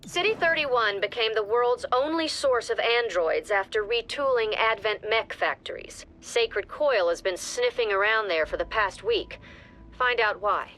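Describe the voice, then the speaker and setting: A clipped briefing officer. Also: female voice, fairly high pitch, animated, a little slow, fairly bright tone, slight background noise. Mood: calm. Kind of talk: game cutscene voice acting.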